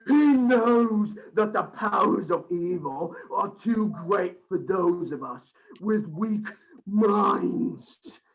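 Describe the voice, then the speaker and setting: A man's voice: high-pitched, expressive, slow pace, gravelly, highs cut off, slight background noise, exaggerated voice. An actor playing a cringing, stammering lunatic servant in a radio play, fawning and fearful of his master. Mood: cowed, evasive. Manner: stammering, pleading, theatrical.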